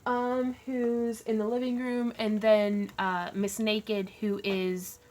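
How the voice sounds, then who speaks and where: A woman's voice: a little high, level tone, slow, a little mellow, a little hiss or hum. A woman hosting a casual craft livestream, chatty and laughing often.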